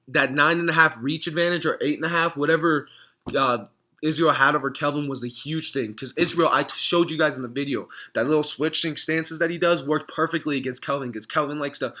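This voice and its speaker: A young man with a hoarse voice, talking casually to camera in a reaction vlog, rambling, clearing his throat often. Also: a little high, bright, forceful tone. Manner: rambling, frequent throat clearing and filler words. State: hoarse from screaming. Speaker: MMA fan vlogger.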